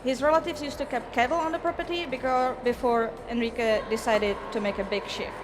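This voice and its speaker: A woman's voice: a little high, fairly even intonation. A woman with a Czech accent, a native Czech speaker, giving a careful, rehearsed barista competition presentation on stage. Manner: measured, careful, formal. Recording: noisy event venue ambience.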